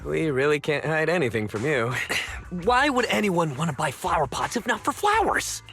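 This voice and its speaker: Game role: eager, easily flustered youth, hugely animated, sharp bright tone. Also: male voice, fairly high pitch, normal speed, faint music behind. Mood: sheepish. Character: exuberant, boyish young man, eager and easily flustered. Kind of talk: video game character acting.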